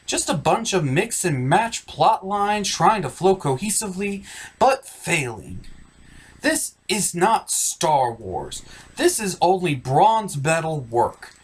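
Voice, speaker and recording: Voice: medium pitch, husky. A reviewer delivering a scripted comedic review in a sardonic, emphatic way, as the host of the show.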